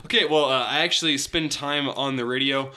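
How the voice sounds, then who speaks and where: A man's voice: mid-range voice, fairly even intonation, brisk, pushed and bright. A young man in his twenties with a practiced radio voice, co-hosting a casual sports podcast with jokey, self-deprecating banter.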